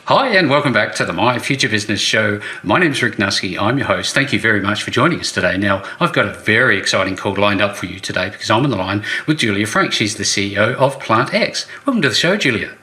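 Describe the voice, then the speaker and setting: A man's voice: on the low side, expressive, fast, few pauses, sharp tone. A man hosting a business interview show in an upbeat, conversational style.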